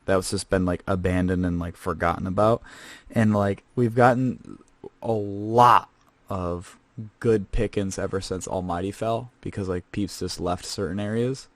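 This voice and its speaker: A man's voice: deep voice, fairly even intonation, normal speed, no room sound. Man doing a rambling scavenger host, dry close mic. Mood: chatty. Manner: rambling and casual, lots of fillers. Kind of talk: comedic in-character podcast.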